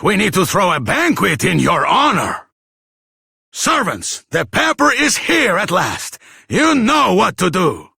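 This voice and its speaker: Flamboyant, gravelly fantasy king. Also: high-pitched, pushed and bright, no room sound, studio quality, heavily acted. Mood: delighted, grand. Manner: pompous, florid, dramatic delivery. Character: grand, theatrical, generous king rewarding a hero. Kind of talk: scripted fantasy game voice acting.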